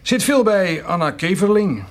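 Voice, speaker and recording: An actor playing a brusque, dutiful young farmer in a radio drama, answering in clipped, offhand phrases. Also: male voice, high voice, lively intonation, normal speed. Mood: brisk, offhand. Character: brusque young farmer son, short-tempered but dutiful.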